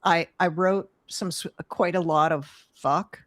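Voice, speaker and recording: Low-voiced frank woman host. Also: fairly even intonation, measured pace, dry recording, clean studio sound. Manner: frank, searching, laughs easily. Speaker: workshop host. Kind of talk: reflective live chat.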